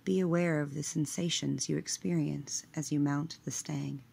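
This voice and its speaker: A woman leading a scripted guided meditation in a measured, hushed manner, letting her phrase endings trail away. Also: sits low, fairly even intonation, creaky, a little hiss or hum.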